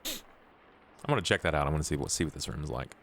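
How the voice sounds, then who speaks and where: A man playing a tabletop game, chatting casually and in character, halting and repeating words as he goes. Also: very deep voice, lively intonation, creaky, a little hiss or hum.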